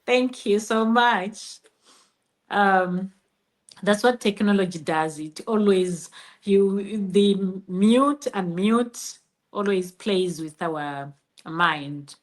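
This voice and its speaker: A woman's voice: medium pitch, very slow. A middle-aged woman with a Zimbabwean accent, a native Shona speaker, telling her personal story as an interview guest.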